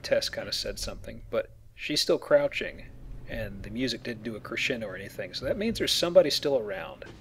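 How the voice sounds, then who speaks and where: Casting: man, Let's Play host, average pitch, informal walkthrough delivery with dry jokes, light game sound underneath. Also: lively intonation, a little fast, fairly bright tone, slight background noise, rough recording. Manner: joking, explanatory. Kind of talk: casual game commentary. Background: video game sounds.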